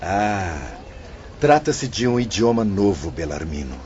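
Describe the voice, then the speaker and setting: A male actor as a patient spirit guide in an audio drama, formal and instructive. His lowish voice moves expressively, with faint noise behind it. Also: big pitch swings, a little slow, a little mellow. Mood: solemn, instructive. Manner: formal, didactic. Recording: dull lo-fi audio, faint noisy ambience.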